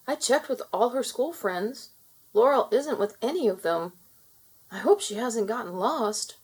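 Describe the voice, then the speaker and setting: A worried village father from a children's storybook, acted by a woman reader. He talks urgently and anxiously, with raised, jumpy pitch and a slightly husky edge. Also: animated, unhurried. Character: worried village father searching for his daughter.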